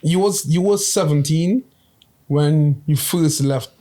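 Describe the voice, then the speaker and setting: A man's voice: fairly high pitch, slow pace, warm tone. A man hosting a casual interview, talking in slang and often repeating words and saying like. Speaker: host.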